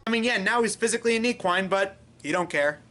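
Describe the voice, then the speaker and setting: A man's voice: high-pitched, quick pace, sharp tone. A man hosting a scripted review, narrating in a wry way with jokey asides. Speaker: fan fiction reviewer, host.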